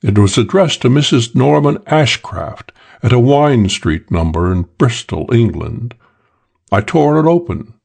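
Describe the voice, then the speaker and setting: A man voicing a suave, self-assured English gentleman thief telling a wry crime story for an audiobook, with old-fashioned British slang. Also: medium pitch, animated, a little slow, vocal fry, slightly rough, heavily acted. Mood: cool, matter-of-fact.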